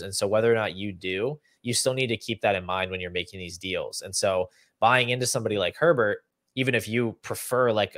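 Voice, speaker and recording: Low-voiced young man explaining stats. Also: a bit bright, no room sound, studio quality. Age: young adult. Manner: explanatory, runs through figures and lists. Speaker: podcast host. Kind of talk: fantasy football analysis, conversational. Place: small room at home, indoors. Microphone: video call setup.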